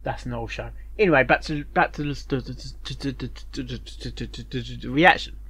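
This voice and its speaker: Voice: mid-range voice, moderate pace, fairly bright tone, slightly rough. A host giving casual reaction commentary, rambling and often repeating words and phrases. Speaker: reviewer and host of a fanfiction reaction show.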